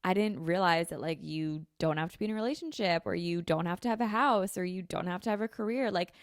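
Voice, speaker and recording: A woman's voice: on the low side, fast talker, no room sound, studio quality. A woman in her twenties hosting a casual solo podcast from her home kitchen, talking in a chatty, rambling way full of "like" and "um" into a handheld mic. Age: 20s. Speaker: podcast host. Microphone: handheld dynamic mic held close.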